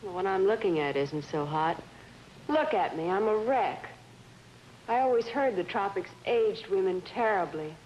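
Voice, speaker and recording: An actress playing a bitter, world-weary wife who complains in a sarcastic, slangy way, in a period drama. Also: female voice, mid-range voice, expressive, normal speed, a little mellow, lo-fi sound, natural voice. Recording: faint noise on old broadcast.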